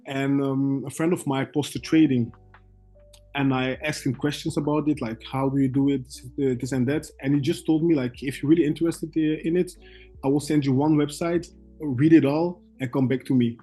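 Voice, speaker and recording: A young man from Belgium, a guest trader, telling his story in halting English full of fillers and restarts. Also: fairly high pitch, fairly even intonation, mellow, soft background music. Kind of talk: casual interview chat.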